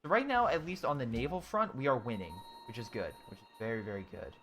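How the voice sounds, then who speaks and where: A man's voice: mid-range voice, wildly swooping pitch, quick pace, a bit bright, slight background noise. Young man musing over a strategy game. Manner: thinking aloud, frequent ums and self-corrections. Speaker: gaming YouTuber. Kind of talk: casual let's-play commentary, reading chat.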